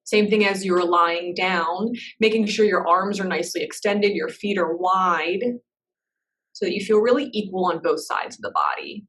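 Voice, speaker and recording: Meditation guide, woman, lowish voice, soft and unhurried, recorded a little off-mic. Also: fairly even intonation, distant mic. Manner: soft, slow and reassuring, with gentle pauses.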